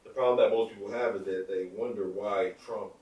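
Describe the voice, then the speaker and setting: A man's voice: on the low side, steady tone, brisk, darkish tone. A man in his thirties co-hosting a podcast, rambling through his opinions in casual chat. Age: 30s. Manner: rambling, lots of "like" and restarts. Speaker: podcast co-host. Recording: echoey room, far from the mic.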